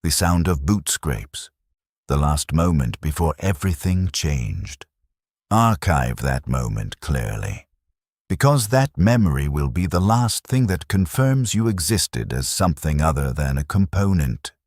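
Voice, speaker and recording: A man performs a resigned, detached soldier-clerk from a grim sci-fi war story. His voice is extremely deep and husky, recorded very dry. He delivers terse, clinical sentences as if filing a log. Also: expressive, a little slow, darkish tone, no echo, exaggerated voice. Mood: somber, reflective. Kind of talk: scripted first-person dramatic narration.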